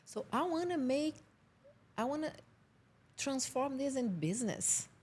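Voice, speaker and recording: A Portuguese-speaking woman from Brazil with a Brazilian accent, a film producer talking casually in a podcast interview and pausing to search for English words. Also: high-pitched, expressive, a little mellow. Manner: halting, searching for English words, laughs often.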